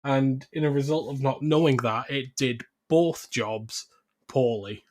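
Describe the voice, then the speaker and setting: A man speaks as a podcast host in a casual discussion. His voice sits at an ordinary middle pitch, and he talks in a loose, conversational way. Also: fairly even intonation, a little slow, darkish tone, a little hiss or hum.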